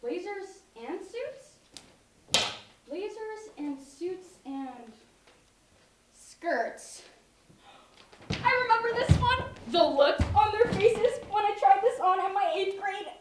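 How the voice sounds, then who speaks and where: A young actress plays a scatterbrained, sentimental chatterbox of a woman on stage. She is excitable yet hesitant, taking her time over her words. The sound is echoey and picked up from far away. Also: very high-pitched, slow, pauses often, noisy background, heavily acted. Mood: musing. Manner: excitable, hesitant, talks to herself. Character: flustered, chatty woman cluttered with sentimental old clothes. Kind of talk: one-act stage comedy acting.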